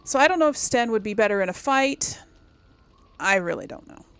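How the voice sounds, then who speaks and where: A woman's voice: fairly high pitch, lively intonation, fairly quick, fairly bright tone, clean tone, no room sound. A woman giving casual let's play commentary as host, rambling as she thinks aloud and corrects herself. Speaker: gaming video host.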